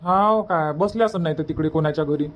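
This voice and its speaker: A woman voicing a calm visiting relative in a cartoon village family, plain and conversational, with a Vidarbha Marathi accent. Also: low voice, fairly quick, slight background noise, lightly acted. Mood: casual. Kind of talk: cartoon comedy dubbing.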